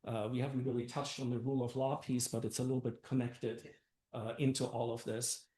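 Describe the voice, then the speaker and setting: A man's voice: medium pitch, little pitch movement, fairly quick, dark tone. Older man giving a campaign talk, echoey. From Austria and Germany. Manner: earnest, conversational, many fillers and "right?". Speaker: council candidate. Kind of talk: campaign speech at a meeting. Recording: echoey room.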